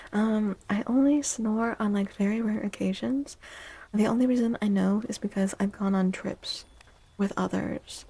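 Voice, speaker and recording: A woman streamer chatting softly and drowsily in a very chill ASMR style, often dropping into a whisper. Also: mid-range voice. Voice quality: soft, breathy. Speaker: live stream host. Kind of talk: soft-spoken ASMR chat with stream viewers.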